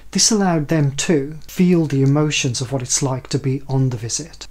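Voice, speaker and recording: Male presenter, fifties, mid-pitched with some huskiness, explaining research to camera.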